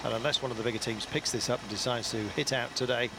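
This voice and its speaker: A man commentates live on a bike race in a conversational, enthusiastic way. His voice is mid-pitched, with hesitant pauses as he goes. Loud vehicle and outdoor noise runs behind him. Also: steady tone. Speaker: lead sports commentator.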